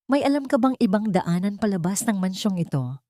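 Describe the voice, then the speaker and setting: A woman's voice: mid-range voice, expressive, a little fast, mellow, dry recording, studio quality, voice pushed up, lightly acted. A woman voicing a defiant, determined housemaid held captive in an audiobook drama, resolute and intense. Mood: urgent. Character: defiant imprisoned young housemaid, determined and vengeful.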